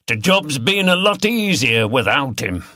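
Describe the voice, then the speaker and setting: A man's voice: medium pitch, big pitch swings, unhurried, bright, forceful tone, no echo, clean studio sound, pitched above the performer's own voice. A man voicing a blunt, grumbling working man, bantering as a pub regular in an audiobook.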